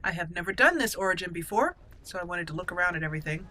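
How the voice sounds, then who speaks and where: A woman's voice: lowish voice, lively intonation, racing, bright, forceful tone, slight background noise. A woman hosting a let's-play video, commenting casually and talking to herself and the game characters as she plays. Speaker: gaming video host. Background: game music.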